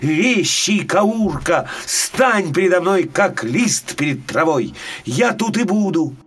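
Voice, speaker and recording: A man voicing a mighty magical horse from a fairy tale, with solemn, drawn-out, incantatory phrasing. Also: sits high, expressive, fairly bright tone, voice pushed up, full character voice. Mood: solemn, instructing. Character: magical male horse, mighty, pleading then commanding helper.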